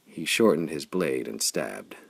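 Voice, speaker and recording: A man with a gravelly, husky voice narrating pulp adventure fiction in a dramatic, intense storytelling manner. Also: rumbling low, expressive, a little slow. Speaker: audiobook narrator.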